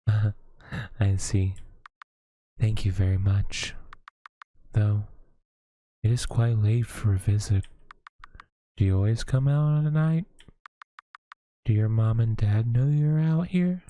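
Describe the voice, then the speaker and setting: Man playing a lonely woodland witch mother, low voice, slow, mellow, gentle, hesitant, sighing. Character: lonely motherly witch woman living alone in the woods. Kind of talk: audio roleplay acting.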